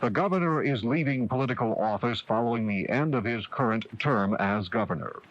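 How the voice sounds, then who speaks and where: A man's voice: medium pitch, lively intonation, a little fast. A man announcing the news on radio, reading from a script. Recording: muffled, hissy radio broadcast tape.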